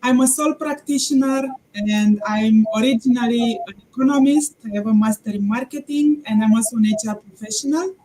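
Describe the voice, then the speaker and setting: An earnest woman from Romania speaks formally as a talk show guest. Her voice is fairly high, with a dark, mellow tone. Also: brisk, few pauses, poor audio.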